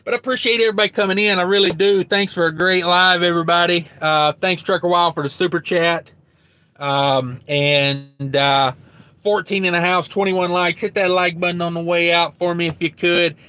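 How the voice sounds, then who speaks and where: A man's voice: high voice, steady tone, normal speed, sharp tone, clear, resonant tone. A man hosting a trucking livestream, rambling casually with lots of fillers while reading out viewer comments, his throat getting dry. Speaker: truck driver livestream host.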